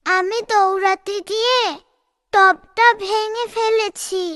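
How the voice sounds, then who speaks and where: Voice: very high-pitched, fairly even intonation, slow pace, sharp tone, no room sound, studio quality, exaggerated voice. A performer voicing a little boy in a children's cartoon, playful and easily scared of a scolding. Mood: sorry.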